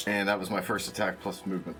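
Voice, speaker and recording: A man with a low voice chats casually through a tabletop roleplaying session as one of the players. Music plays clearly behind him. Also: little pitch movement, fast, sharp tone.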